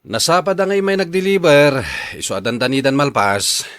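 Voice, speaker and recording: A man's voice: fairly high pitch, sing-song, moderate pace, fairly bright tone. A man with an Ilocano accent playing a patient, agreeable husband and jeepney driver in a radio drama.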